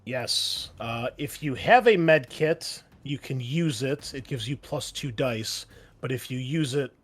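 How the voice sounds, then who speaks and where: A man running a tabletop game as its game master and host, easygoing and quick to laugh, often pausing with ums. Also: medium pitch, husky.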